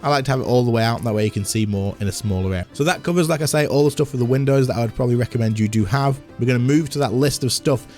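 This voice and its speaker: A man with a slightly hoarse voice, giving a casual game tutorial and chatting as he demonstrates on screen. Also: mid-range voice, very fast, few pauses, darkish tone, faint music behind. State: slightly hoarse, losing his voice. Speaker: gaming content creator.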